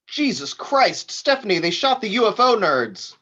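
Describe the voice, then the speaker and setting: A man's voice: high-pitched, big pitch swings, moderate pace, bright, forceful tone, heavily acted. Man playing an irritable boss, dull lo-fi audio. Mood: exasperated. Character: impatient, irritable, foul-mouthed male boss on a video call. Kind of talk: live table read of a script.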